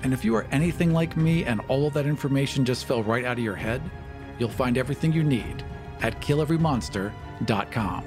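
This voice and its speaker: A man's voice: medium pitch, brisk. A man hosting a podcast, reading scripted announcements and promos. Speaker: podcast host and producer. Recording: music under the voice.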